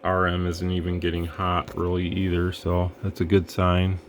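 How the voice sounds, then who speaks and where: A man with a deep, level voice talks through a radio demo in an easygoing, rambling way, pausing with fillers as he works. He sounds low and steady, with faint noise behind him. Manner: relaxed, rambling, thinks aloud with fillers. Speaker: radio equipment reviewer. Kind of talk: casual hands-on demo narration.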